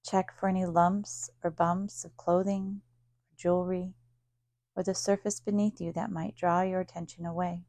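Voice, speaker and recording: A woman with a soft-edged, mellow voice and noticeable vocal fry leads a guided relaxation. She speaks calmly and soothingly, leaving long pauses between short instructions. The sound is close and dry, with no room around it. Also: lowish voice, dry recording. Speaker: yoga nidra teacher. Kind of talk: guided meditation.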